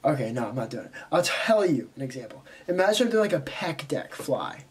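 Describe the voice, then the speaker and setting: A man's voice: mid-range voice, very animated. A young man with a cold, sounding stuffy and congested, rambling casually to the camera from his bedroom. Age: young adult. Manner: rambling, chatty, quick asides. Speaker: vlogger. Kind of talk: casual vlog talk to camera. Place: bedroom, indoors, small. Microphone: camera in front at close range.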